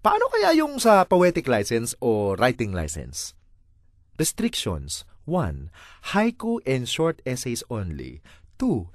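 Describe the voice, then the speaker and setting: A Filipino-accented man performs a comic first-person monologue as a dramatized story. His mid-pitched voice swings extremely widely in a theatrical, exasperated delivery, recorded close and dry. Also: dry recording. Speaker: voice-over reader of a comic monologue.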